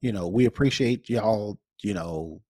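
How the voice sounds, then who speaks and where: Low-voiced, husky man, podcast co-host. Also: unhurried, mellow, no room sound. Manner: informal, explanatory, circles back to rephrase. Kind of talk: casual podcast chat.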